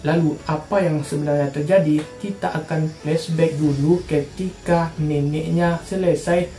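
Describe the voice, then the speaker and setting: A woman's voice: deep voice, fairly even intonation, unhurried, dark tone, reverberant, faint music behind, low-quality recording. A woman from Indonesia telling true-crime stories for her channel in a casual, slangy narrating style.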